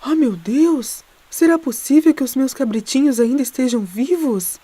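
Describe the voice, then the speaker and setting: Woman as a high-voiced, anxious mother goat. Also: lively intonation, normal speed, mellow, dry recording. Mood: hopeful shock. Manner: exclamatory, motherly.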